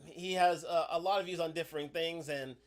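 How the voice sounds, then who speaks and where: A man with a notably high voice hosts a livestream and sets up a clip. He talks haltingly, stopping and restarting his sentences and leaning on "again" as a filler. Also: fairly even intonation. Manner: hesitant, restarts, repeats "again". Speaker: stream host. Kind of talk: livestream introduction.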